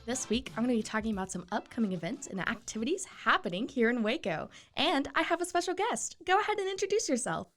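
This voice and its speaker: A woman's voice: on the high side, sing-song, fast, few pauses, a bit bright, faint music behind. A woman hosting a local podcast, upbeat and chatty, laughing easily as she talks with a guest. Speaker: podcast host. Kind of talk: casual interview chat.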